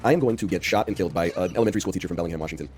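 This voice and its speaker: Fast-talking deep-voiced man in his thirties. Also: background noise. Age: early 30s. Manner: rambling, talky, heavy on filler "like"s; dry, unenthusiastic delivery. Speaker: streamer and game host. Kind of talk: casual gaming chat and storytelling.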